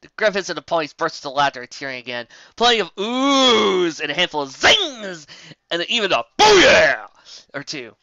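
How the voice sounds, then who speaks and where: An animated narrator reads a dramatic story with theatrical flair. The voice is bright and forceful with lively pitch swings, captured close in a dry, slightly dull recording. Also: normal speed, no room sound. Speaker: storyteller, fan fiction reader.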